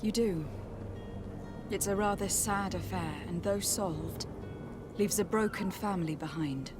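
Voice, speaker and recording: A woman playing a diplomatic young noblewoman in a video game, polite, formal and quietly assertive. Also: mid-range voice, a little slow, music in the background, lightly acted. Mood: composed. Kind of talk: video game cutscene acting.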